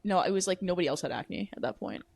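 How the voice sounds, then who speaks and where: A young woman with a deep voice for a woman, chatting casually and filling her talk with "like" and casual swearing. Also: animated, fast, vocal fry, darkish tone, no room sound. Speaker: podcast guest. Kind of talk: casual chat. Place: apartment room.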